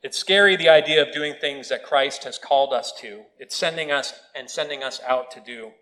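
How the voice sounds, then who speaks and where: A man's voice: medium pitch, normal speed, poor audio. A man preaching a sermon in a church, telling stories with touches of humor. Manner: storytelling with humor, animated quoted voices.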